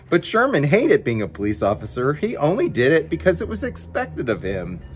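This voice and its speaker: A man with a fairly high, slightly husky voice hosts a children's story time. His pitch swings widely as he reads from a picture book. He breaks off for playful, coaxing asides to his young viewers. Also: huge pitch swings, normal speed. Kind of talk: animated children's picture book read-aloud with chatty asides.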